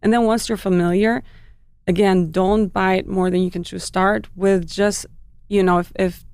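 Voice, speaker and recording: Woman, from Albania, fitness trainer, chatty, laughs often, gravelly, smooth. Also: mid-range voice, steady tone, measured pace, no echo, clean studio sound. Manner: chatty, warm laughs, many fillers and restarts. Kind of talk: casual interview talk.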